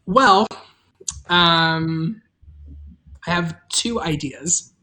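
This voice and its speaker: A livestream host and audio drama creator chatting casually with the cast and viewers in a wry, deadpan way. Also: mid-range voice, drawn-out words, poor audio.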